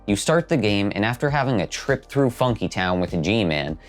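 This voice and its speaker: A man's voice: on the low side, animated, normal speed. A man hosting a video essay, reading scripted narration. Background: background music.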